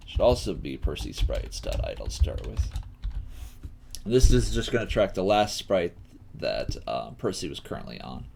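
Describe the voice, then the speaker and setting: A man hosting a live coding lesson, thinking aloud haltingly and often murmuring to himself as he works. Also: deep voice, very animated, gravelly, darkish tone. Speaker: coding teacher and stream host. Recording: noisy room with keyboard clicks. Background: typing and clicking.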